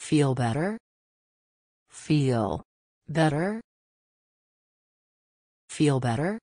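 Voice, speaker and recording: Woman reader for a language lesson, very deep, unhurried and measured, dry studio sound. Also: mellow, no room sound. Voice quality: measured, word by word. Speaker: English phrase reader. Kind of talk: slow repeated phrase drills.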